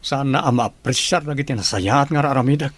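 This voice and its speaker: A man's voice: mid-range voice, fairly quick, no echo, close to an everyday voice. A man playing a modest, patient and friendly young teacher in an audio drama. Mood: troubled. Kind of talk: Ilocano audio drama acting.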